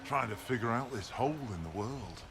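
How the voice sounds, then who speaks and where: A man's voice: medium pitch, animated, brisk, noisy background, close to an everyday voice. A man playing a blunt, world-weary barbarian shaman in a video game, speaking tersely and solemnly. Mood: grim, unimpressed. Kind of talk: video game character acting.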